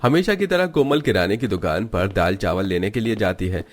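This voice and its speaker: A man narrates a cartoon tale in a fairly low voice. The delivery is scripted storytelling, told in the third person as a narrator. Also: lively intonation, moderate pace.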